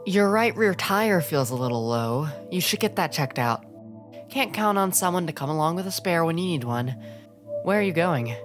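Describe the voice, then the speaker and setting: A woman's voice: fairly low pitch, lively intonation, moderate pace, natural voice. A woman playing a stern, controlling aunt, curt and admonishing, in a dramatic comic dub. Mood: stern, guarded. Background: music.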